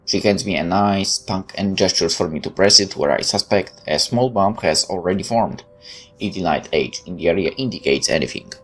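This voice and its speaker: Low-pitched man doing a game playthrough. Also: normal speed, a bit bright, soft background music. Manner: off-the-cuff remarks between read passages. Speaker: let's play host. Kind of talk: reading visual novel aloud with casual commentary.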